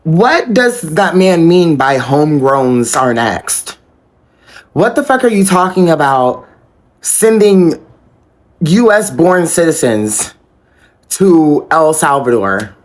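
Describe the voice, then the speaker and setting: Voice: mid-range voice, sing-song, takes it slowly. An American speaker on a casual social media rant, exasperated and incredulous, swearing freely. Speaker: political commentator on social media.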